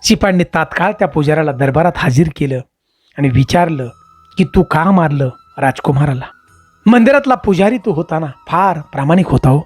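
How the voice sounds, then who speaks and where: Animated storyteller over music. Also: mid-range voice, fairly quick, breathy edge. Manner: emphatic, repeats phrases, addresses listeners as friends. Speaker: storyteller, channel host. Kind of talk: moral storytelling narration.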